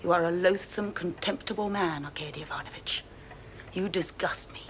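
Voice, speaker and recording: A woman's voice: on the high side, animated, moderate pace, fairly bright tone, slight background noise. An actress playing a proud young woman who answers a suitor with cold contempt in a radio drama. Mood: contemptuous. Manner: cold contempt, clipped and cutting.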